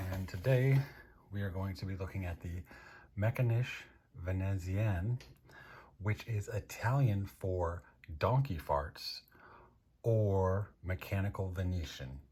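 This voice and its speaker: An American man from Maryland with a husky voice, casually reviewing products as host in a dry, unhurried manner. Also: sits low, a little hiss or hum. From Maryland, United States. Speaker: host of a watch review show.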